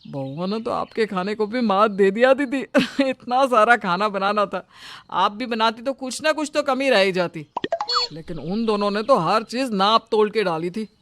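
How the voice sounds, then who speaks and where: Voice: medium pitch, moderate pace, a bit bright, lightly acted. A performer voicing a cheerful, admiring younger brother in a cartoon moral story, praising in an easygoing way.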